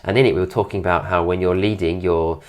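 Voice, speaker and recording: A man with a very low, deep voice and a stuffy, congested nose, still getting over an illness. He talks in a relaxed voice-over commentary and keeps praising details in an admiring way, close to the mic. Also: quick pace. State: recovering from illness, voice deeper than usual. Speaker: dance teacher reviewing a dance video.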